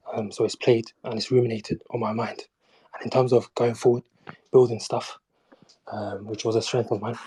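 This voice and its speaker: A man's voice: mid-range voice, darkish tone, breathy edge, poor audio. A man in his twenties from Somalia, calling in to ask a question and talking hesitantly, with many ums and you-knows. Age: 20s. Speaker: caller.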